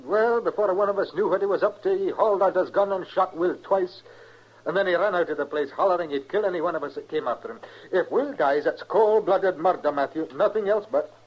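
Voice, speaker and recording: Higher-pitched, earnest western townsman. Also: brisk. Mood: agitated. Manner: folksy, hesitant. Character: earnest, worried frontier townsman bringing warnings. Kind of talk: old-time radio western acting. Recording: muffled vintage radio recording.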